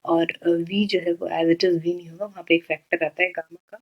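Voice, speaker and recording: Physics tutor: Indian woman, lowish pitch, explanatory, step-by-step delivery with rhetorical questions and frequent check-ins. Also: level tone, normal speed, lo-fi sound. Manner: explanatory, checks in often and poses questions then answers them. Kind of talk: step-by-step problem explanation, Hindi mixed with English terms.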